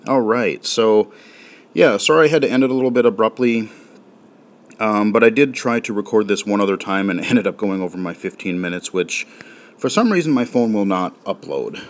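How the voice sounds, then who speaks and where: A man casually talking through an audio game on his phone, relaxed and chatty with plenty of fillers. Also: fairly low pitch, moderate pace. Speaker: game reviewer. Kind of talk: casual game walkthrough commentary.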